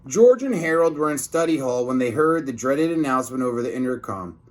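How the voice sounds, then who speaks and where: A man reading a storybook aloud to children in an expressive, teacherly way, with asides to his young listeners. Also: medium pitch. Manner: slow, deliberate, teacherly.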